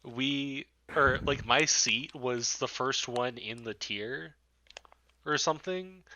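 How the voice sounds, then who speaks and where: A young man with an American accent shares casual, halting anecdotes with friends. His voice is bright and forceful, the pace rather slow, and the mic carries faint noise. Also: mid-range voice, low-quality recording. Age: young adult. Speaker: podcast co-host.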